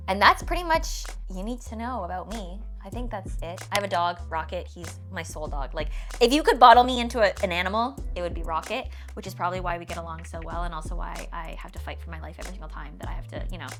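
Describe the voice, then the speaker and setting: A woman's voice: fairly low pitch, quick pace, hardly any pauses, a bit bright. A woman of 29 with a Canadian accent, chatting casually and informally to followers with emphatic, self-mocking asides. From Toronto area, Canada. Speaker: fitness content creator and vlogger. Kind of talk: casual rapid-fire Q&A chat to followers. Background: background music.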